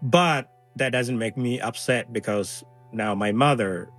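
A man's voice: mid-range voice, measured pace, over music. Earnest man. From Somalia. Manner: earnest, voices others' words animatedly. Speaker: interview guest. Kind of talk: conversational interview.

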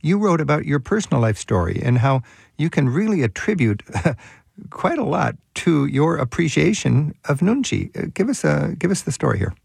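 A man's voice: fairly high pitch, very animated, normal speed, vocal fry, darkish tone, breathy edge, no room sound. A man hosting a radio travel show, interviewing a guest in a casual, curious way and reading out topics one by one.

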